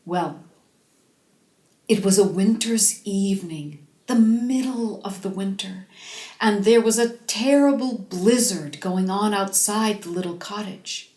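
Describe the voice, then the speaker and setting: A woman telling a fairy tale for children in an expressive, dramatic way, drawing out key words for emphasis. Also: medium pitch. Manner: dramatic, drawn-out emphasis, animated. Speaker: storyteller. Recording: roomy, echoing space.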